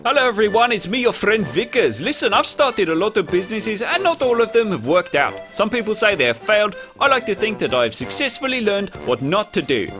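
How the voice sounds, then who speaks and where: A man's voice: high voice, very animated, brisk, hardly any pauses, pushed and bright, soft background music, full character voice. A man voicing a brazen, shifty small-time businessman delivering a spoof radio advert. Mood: breezy, shifty.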